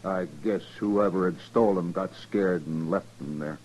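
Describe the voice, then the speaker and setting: Folksy american cowboy rancher, lowish voice, halting and evasive, old radio western. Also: male voice, a little mellow, low-quality recording. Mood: sheepish, explaining. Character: evasive, callous male rancher confessing to a lynching. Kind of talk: 1950s radio western acting.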